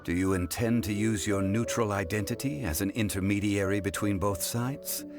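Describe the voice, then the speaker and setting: A man playing a composed, erudite gentleman in a video game, speaking formally, thoughtfully and deliberately. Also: lowish voice, music in the background, lightly acted. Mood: grave counsel. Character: composed, erudite gentleman consultant, grave and measured. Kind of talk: video game character acting.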